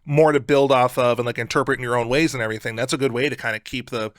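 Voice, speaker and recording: A man's voice: medium pitch, fairly even intonation, fast, dry recording, clean studio sound. A man co-hosting a podcast, chatting casually and very informally. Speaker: podcast co-host. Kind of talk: casual chat.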